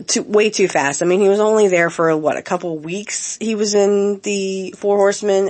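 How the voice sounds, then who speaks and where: Soft-pitched woman with a head cold. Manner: halting, many ums, earnest opinions. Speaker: podcast host. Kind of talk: casual podcast commentary.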